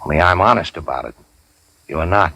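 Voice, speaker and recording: An actor playing a tough, cynical racketeer boss in a 1940s radio crime drama, with clipped, slangy, sardonic delivery. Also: male voice, sits low, brisk, pushed and bright, husky, no room sound, exaggerated voice, poor audio. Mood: cynical, needling. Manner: hard-boiled, slangy, clipped. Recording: dry 1940s radio recording.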